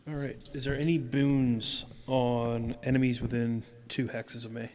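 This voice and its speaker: A man's voice: medium pitch, level tone, measured pace, darkish tone, slight background noise. A man playing a tabletop game and chatting informally with friends. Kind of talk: casual chat during gameplay.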